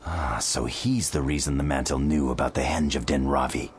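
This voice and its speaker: An actor playing a wary, earnest rebel fighter in a video game, with a questioning, dramatic manner. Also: male voice, extremely low pitch, fairly even intonation, normal speed, a little hiss or hum. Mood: alarmed. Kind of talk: video game cutscene acting.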